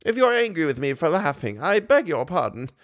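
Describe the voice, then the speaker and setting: A man's voice: fairly high pitch, big pitch swings, fairly quick, a bit bright, voice pushed up. A man reading aloud, voicing a kindly, bedridden old woman who speaks with simple, cheerful faith. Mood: earnest.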